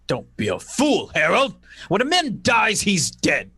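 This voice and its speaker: A man's voice: high-pitched, huge pitch swings, measured pace, bright, forceful tone, slightly rough, dry recording, clean studio sound, voice pushed up, heavily acted. A man playing a skeptical man who narrates an eerie murder mystery in a dramatic old-time radio style. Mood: dismissive.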